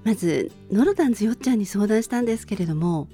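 A middle-aged woman hosting a radio show, speaking politely in a gentle solo talk with personal stories. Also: mid-range voice, expressive, racing. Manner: polite, formal phrasing with personal anecdotes. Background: faint music.

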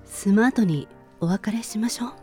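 A woman's voice: mid-range voice, normal speed, mellow, faint music behind, natural voice. A woman playing a lovelorn, proud woman who clings to her lover in an amateur romantic radio drama. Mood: brave front. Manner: wistful, putting on a brave front.

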